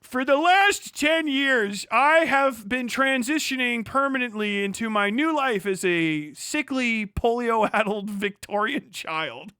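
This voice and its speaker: A man voicing a pitiful, sickly Victorian invalid who lists absurd ailments in a drawn-out, melodramatic way, as stream comedy. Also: very high voice, expressive, bright, forceful tone, clean tone, dry recording, clean studio sound, heavily acted. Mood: mock suffering.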